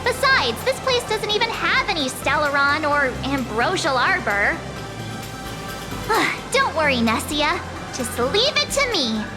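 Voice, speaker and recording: A woman voicing a bubbly, naive and kind-hearted teenage girl adventurer in a video game, chatty and expressive. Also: high-pitched, a little slow, over loud music. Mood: reassuring.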